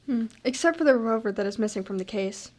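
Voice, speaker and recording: A woman's voice: on the high side, expressive, fast, darkish tone. A woman playing a grave, guarded housemaid in a radio drama, speaking formally.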